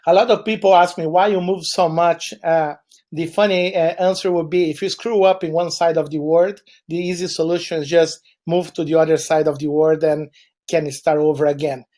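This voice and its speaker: A man's voice: fairly high pitch, flat delivery, normal speed, a little mellow. A man from Chile with a Brazilian Portuguese accent, Portuguese his first language, giving a webinar presentation in a meandering way with frequent fillers.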